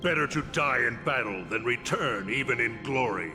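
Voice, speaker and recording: An actor playing a proud, brave shield-bearing veteran warrior in a video game, with solemn, declamatory delivery. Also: male voice, medium pitch, animated, moderate pace, natural voice. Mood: defiant resolve. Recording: long reverb, loud game music behind.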